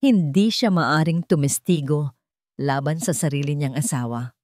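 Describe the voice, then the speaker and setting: A woman's voice: sits low, normal speed, a little mellow, no echo, studio quality, close to an everyday voice. A villain's attorney in a melodramatic audio story, voiced by a woman narrator. He is smug and formal, laying on mocking, feigned concern. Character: smug, falsely concerned male lawyer for a villain.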